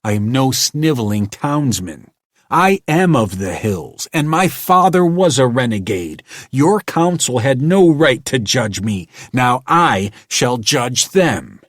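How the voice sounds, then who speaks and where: A man's voice: mid-range voice, big pitch swings, takes it slowly, no echo, studio quality, voice pushed up. A man doing a growling proud young rebel. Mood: angry. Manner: growling, snarling. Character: angry young hill-born man, proud renegade.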